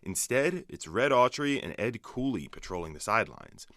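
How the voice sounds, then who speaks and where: A man's voice: fairly low pitch, sing-song, moderate pace, sharp tone, dry recording, studio quality. A man anchoring a radio sports update in a scripted broadcast delivery.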